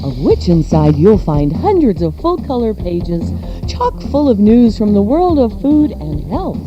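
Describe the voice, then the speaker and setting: A woman hosting a radio show and reading ads in a sultry, playful, drawn-out way. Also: mid-range voice, lo-fi sound. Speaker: radio show host and ad reader. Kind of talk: live-read radio ad patter. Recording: music behind the voice. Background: music.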